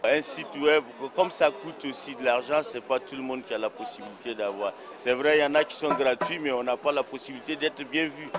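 A man's voice: mid-range voice, brisk, hardly any pauses. A man, an artisan, answering a street interview at a craft fair in a chatty, explaining way, into a handheld mic. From Burkina Faso. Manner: chatty, explanatory, tags phrases with "quoi" and "tu vois". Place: busy outdoor craft fair stall. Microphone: handheld mic held by interviewer. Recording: crowd chatter behind.

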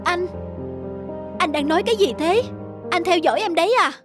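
High-voiced, emotional young wife. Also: female voice, moderate pace. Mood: indignant. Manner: emotional, reproachful. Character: caring young wife, indignant when wrongly accused. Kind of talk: animated drama dubbing. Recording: music behind the voice.

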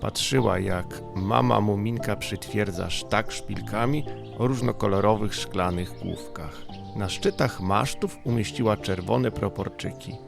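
A man reads a bedtime story as an audiobook narrator. His voice sits fairly low with a distinct creaky grain. Music plays clearly underneath. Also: measured pace.

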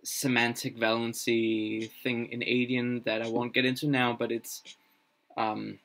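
A young man, a native Danish speaker, talks slowly and unhurriedly on a live stream, at an ordinary mid pitch. He thinks aloud, halting often with fillers and restarts. He is recorded at a desk in a small room. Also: little pitch movement, a bit bright. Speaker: streamer building a constructed language.

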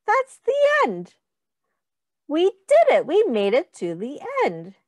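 High, sing-song woman reading to children. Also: huge pitch swings, takes it slowly, no room sound, studio quality. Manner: sing-song, encouraging, child-directed, asking questions. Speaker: teacher reading a picture book aloud. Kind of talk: children's read-aloud with counting prompts.

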